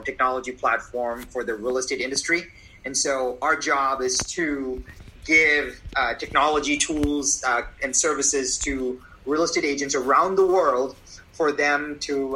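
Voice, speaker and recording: Forceful, emphatic man giving advice. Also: medium pitch, rough recording. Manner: emphatic, persuasive, repeats for effect. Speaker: guest, company CEO. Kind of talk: interview answers.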